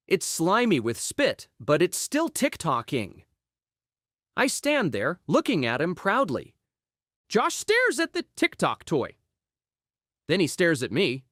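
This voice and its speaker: A woman reading a children's audiobook aloud in an expressive storytelling style, acting out exclamations and sound words. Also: lowish voice, dry recording, clean studio sound. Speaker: audiobook reader.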